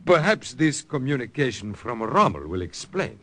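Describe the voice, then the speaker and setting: An actor playing a suave, scheming police chief, coaxing and smooth in 1940s radio style. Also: male voice, mid-range voice, big pitch swings, moderate pace, fairly bright tone.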